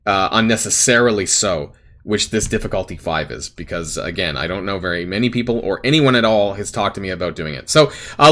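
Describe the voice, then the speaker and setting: A man hosting a gaming channel, rambling through casual commentary with lots of fillers and self-corrections, his voice slightly raspy. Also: fairly low pitch, a bit bright.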